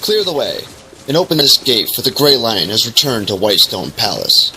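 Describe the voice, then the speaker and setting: An actor playing an imperious herald in a fantasy radio drama, proclaiming loudly and commandingly. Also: male voice, mid-range voice, sing-song, normal speed, a little hiss or hum, full character voice, rough recording. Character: bold, imperious herald of a returning lord.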